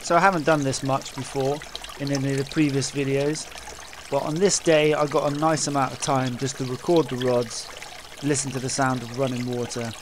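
A man's voice: mid-range voice, little pitch movement, brisk, noisy background. A man narrating his own fishing video in a casual, informal voice-over.

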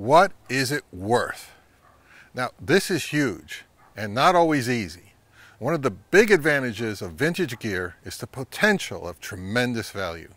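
Middle-aged North American man, lively intonation. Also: mid-range voice, measured pace, no echo. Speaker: vintage audio channel host. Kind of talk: informal advice to camera. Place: outdoors in woodland.

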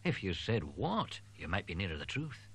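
A man's voice: low voice, wildly swooping pitch, moderate pace, a bit bright, husky, full character voice. A male narrator voicing a talkative, teasing young male student. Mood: chatty. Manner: chatty, teasing, rambling.

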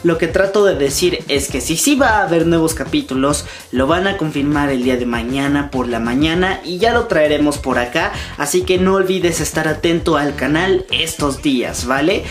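A man's voice: fairly high pitch, normal speed, hardly any pauses. A man in his twenties hosting a fan news vlog, speaking emphatically and directly to his viewers from a room at home. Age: 20s. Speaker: YouTube host. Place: small room at home, indoors. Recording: music behind the voice. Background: background music.

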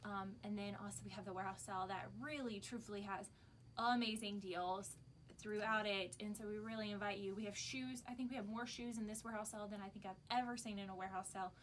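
A woman's voice: mid-range voice, fairly even intonation, fast talker, a bit bright. An American woman in her thirties making a casual video announcement to customers as a shop owner, recorded indoors a few steps from the camera. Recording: background noise, camera from a distance.

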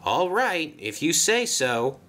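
A man's voice: a little high, very animated, slow pace, a bit bright, a little hiss or hum, pitched above the performer's own voice, full character voice. A man voicing a polite, easygoing male tank engine who speaks in a courteous, dry way.

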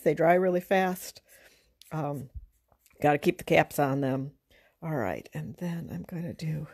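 A middle-aged woman giving an informal drawing lesson, thinking aloud in a halting, unhurried, conversational way as she works. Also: sits low, darkish tone, husky. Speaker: art teacher. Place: indoors at a drawing table.

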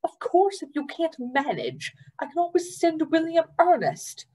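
A woman's voice: extremely high pitch, very animated, a little slow, mellow, voice pushed up, exaggerated voice. A woman reading aloud, voicing a bossy, snappish foster mother who scolds sharply. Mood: scolding.

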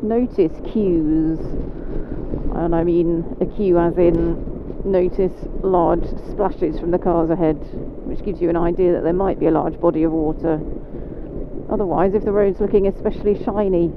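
A woman motorcycle instructor with a British accent, calmly talking through each step as she rides. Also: fairly low pitch. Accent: British English. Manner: calm, measured, talks through each riding step. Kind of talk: instructional commentary while riding. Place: on a motorcycle on wet roads in rain. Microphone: helmet mic while riding. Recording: phone-like helmet mic, road and engine noise.

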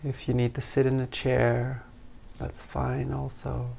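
Man, meditation guide; slow and even delivery, gravelly low edge, calm and gentle. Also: a little hiss or hum. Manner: slow, calm, gentle instructions with long pauses. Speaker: meditation teacher.

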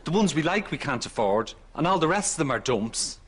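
A naive, camp Irish grown son in a sitcom. He is chatty, with a very animated pitch. Background noise is clearly heard. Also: brisk. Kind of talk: sitcom acting.

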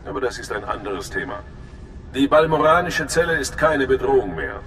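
Stern imperial overseer, very echoey. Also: male voice, sing-song, normal speed, a bit bright. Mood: formal, businesslike. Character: stern, formal male imperial intelligence overseer. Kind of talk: dramatic sci-fi game dialogue. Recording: echoey hall with faint rumbling noise.

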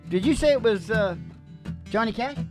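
A man in his sixties chats casually from a small stage. He is joking and rambling as he talks, and guitar music is clearly audible around his voice. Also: sits high, expressive, moderate pace. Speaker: musician performing with a duet partner. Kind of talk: casual stage banter between songs. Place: small indoor stage with red curtains. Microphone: stand microphone. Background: acoustic guitar.

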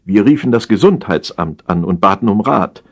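A man narrating a humorous satire as an audiobook reader, in an expressive, measured storytelling manner. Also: on the low side.

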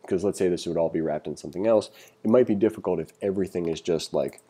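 Male coding instructor, low and very soft-edged, relaxed tone, informal tutorial read-along. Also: quick pace, husky. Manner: casual, thinking aloud.

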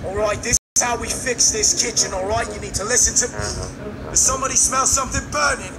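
Brief: male actor as irate head chef, high, wildly animated, sweary, shouting over loud music. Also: quick pace, poor audio. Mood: exasperated. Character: angry celebrity-style British head chef, ranting in kitchen. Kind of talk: comedic comic dub.

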